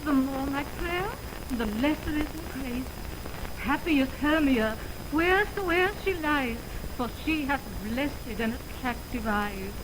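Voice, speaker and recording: A woman's voice: high-pitched, lively intonation. An actress playing a lovelorn young woman, pleading and declamatory, in 1920s radio Shakespeare. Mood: pleading, forlorn. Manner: pleading, declamatory, drawn-out delivery. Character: lovelorn young woman desperately pursuing her scornful beloved. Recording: noisy 1929 radio aircheck.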